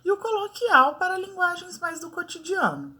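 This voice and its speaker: A Brazilian woman teacher giving a relaxed lesson, talking in a chatty way and often checking in with her students. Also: extremely high pitch, normal speed. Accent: Brazilian Portuguese. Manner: chatty, many fillers like "né" and "tá". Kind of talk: casual online lesson.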